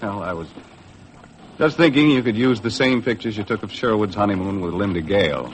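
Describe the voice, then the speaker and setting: A man's voice: on the low side, brisk, slight background noise, natural voice, low-quality recording. An actor playing a wry, tough American boat skipper who narrates his own adventure in a 1950s radio drama. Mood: dry. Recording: vintage radio recording with music.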